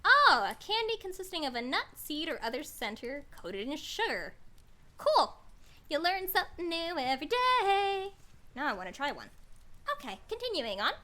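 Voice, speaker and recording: A woman with a high, lively voice reads a children's story to a live stream. Her tone is bright and swings freely in pitch. She is chatty and playful, slipping into casual asides and gently poking fun at herself. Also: normal speed. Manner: chatty, playful, self-deprecating, mild exclamations.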